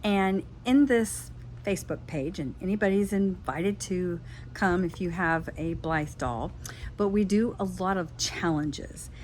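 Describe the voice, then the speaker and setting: A woman's voice: on the low side, a little slow, darkish tone, slight background noise. An older woman from Florida, a doll craft vlogger, telling her life story in a chatty, friendly way. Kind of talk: casual personal storytelling.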